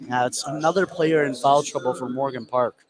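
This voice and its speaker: A man's voice: mid-range voice, steady tone, a little fast, low-quality recording. A man giving conversational, approving analysis as color commentator on a live basketball broadcast. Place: indoor high school gym. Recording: live broadcast with crowd noise. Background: loud crowd.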